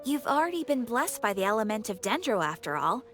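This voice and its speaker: A high-voiced, breezy young receptionist from a video game. She sounds informal and thoughtful, with a lively melodic range. Music plays faintly underneath. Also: brisk. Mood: breezy, musing. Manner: informal, playful, musing. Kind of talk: English video game voice acting. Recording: soft music behind the voice.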